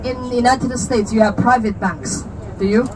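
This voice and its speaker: A woman's voice: a little high, moderate pace. A woman in her thirties from China, with a Chinese accent, explaining things to visitors on a walking tour in a chatty way, asking questions and joking. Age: 30s. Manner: chatty and explanatory, asks listeners questions, jokes. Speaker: tour guide. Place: outdoors on a city street. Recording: traffic noise from the street.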